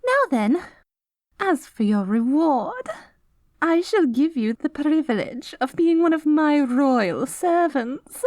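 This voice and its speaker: A woman's voice: high-pitched, very animated, takes it slowly, darkish tone, dry recording, clean studio sound, full character voice. A woman voicing a haughty, self-righteous good queen with a cruel streak, in pompous, theatrical fantasy roleplay style. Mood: smug, condescending. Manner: pompous, theatrical, mock-archaic diction. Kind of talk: scripted fantasy audio roleplay.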